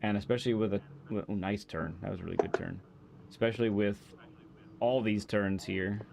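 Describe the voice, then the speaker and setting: A man hosting a live racing game stream, coaching a guest with calm, encouraging feedback. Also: lowish voice, fairly quick, gravelly, noisy background. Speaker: host and driving coach.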